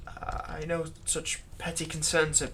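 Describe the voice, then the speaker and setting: A man's voice: a little high, completely flat delivery, unhurried, pushed and bright, a little hiss or hum. A man playing a servile, nervous vampire's thrall in a roleplay audio drama, stammering and deferential. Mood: nervous, apologetic. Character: servile, nervous male vampire's thrall, bitterly eager to please.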